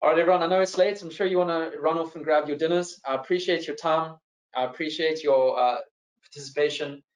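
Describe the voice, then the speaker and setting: A man's voice: a little high, fast, lo-fi sound. South african guy running a finance webinar, easygoing, lots of ums and repeats, echoey room. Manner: relaxed and friendly, with frequent fillers and repeated words. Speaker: financial adviser hosting a webinar. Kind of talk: presenting a live online seminar, answering audience questions.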